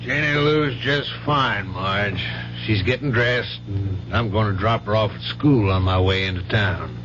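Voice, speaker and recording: A man's voice: lowish voice, measured pace, lightly acted. An actor playing a firm, kindly, plain-spoken country family doctor in a 1970s radio drama, with folksy, fatherly emphasis. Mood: reassuring. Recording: muffled, noisy old radio broadcast with hum.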